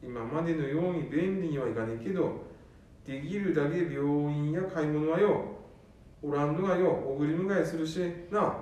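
A man's voice: a little high, moderate pace, darkish tone, close to an everyday voice. A man playing an earnest son with a Nanbu dialect. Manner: earnest, pleading persuasion. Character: earnest eldest son persuading his father. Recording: echoey room, distant mic.

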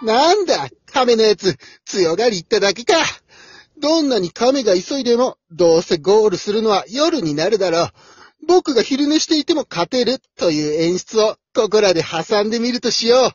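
A woman's voice: mid-range voice, sing-song, moderate pace, darkish tone, slightly rough, dry recording. A woman voicing a cocky young male hare in a comic radio drama, showy and exclamatory. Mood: smug, scornful. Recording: dull, lo-fi recording.

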